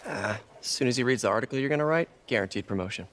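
An American man in his twenties playing an earnest, quippy young superhero in a game cutscene, halting, self-conscious and wry. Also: mid-range voice, animated, fairly bright tone, lightly acted. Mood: awkward, hopeful. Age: 20s. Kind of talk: naturalistic video game cutscene acting.

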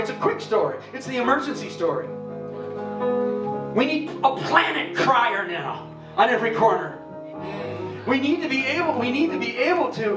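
Fervent preacher man over loud guitar. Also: very high-pitched. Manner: fervent, preacherly, building to shouts. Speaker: performance preacher, host. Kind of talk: theatrical sermon. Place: large theater stage. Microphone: handheld mic. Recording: echoey hall, distant mic, loud music.

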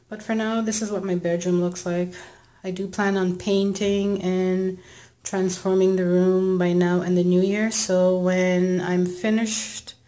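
A woman's voice: lowish voice, mellow. A young woman narrating a casual tour of her bedroom at home, chatting with many ums and likes while filming on a handheld camera. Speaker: home vlogger. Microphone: handheld camera, filming while walking.

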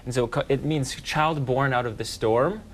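A young man from the West Coast of Canada, a guest musician chatting casually in a TV studio, relaxed and joking with lots of fillers. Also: mid-range voice, normal speed, a little hiss or hum. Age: young adult. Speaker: band leader, guest musician. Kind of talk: casual interview chat. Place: TV news studio.

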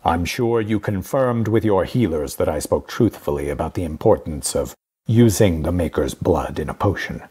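An actor playing a guarded, haughty guardian who speaks formally and curtly in an audio drama. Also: male voice, deep voice, lively intonation, normal speed, warm tone, slightly rough, full character voice. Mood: curt, defensive. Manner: formal, curt, dry.

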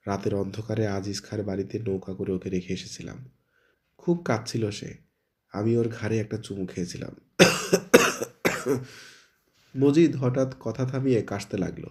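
A man's voice: fairly low pitch, steady tone, a little slow, long pauses. A man reading a short story aloud, acting out the characters' dialogue within the narration.

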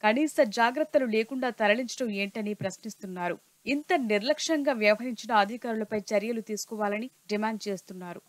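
Higher-pitched woman reading news voice-over. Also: fast talker, slight background noise. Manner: steady formal reportage. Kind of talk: scripted news narration.

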